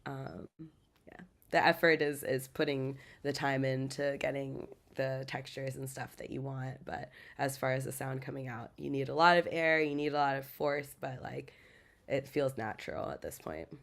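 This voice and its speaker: A woman's voice: extremely low pitch, steady tone, normal speed, gravelly. A young American woman, a metal singer, chats easily as a podcast guest. Her talk is loose and filler-heavy, full of "like" and "um". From United States. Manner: chatty, many "like" and "um" fillers. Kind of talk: casual interview chat.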